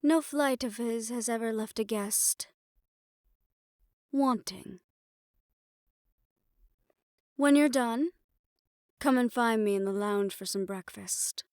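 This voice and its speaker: A woman's voice: a little high, slow, long pauses, a little mellow, no room sound, studio quality. A woman playing a poised, worldly hotel manager in an assassin underworld audio roleplay, speaking in a composed, gracious and knowing manner. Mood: gracious, playful. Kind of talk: roleplay audio drama acting.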